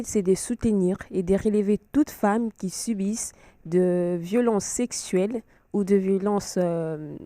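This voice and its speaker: A woman's voice: medium pitch, warm tone, no echo. A woman, a pageant candidate, speaking seriously about her project.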